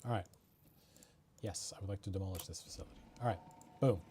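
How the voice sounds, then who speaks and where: A man's voice: sits low, fast, background noise. A man from Norway chatting casually while playing a video game on stream, muttering and joking at himself. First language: Norwegian. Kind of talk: casual gameplay commentary.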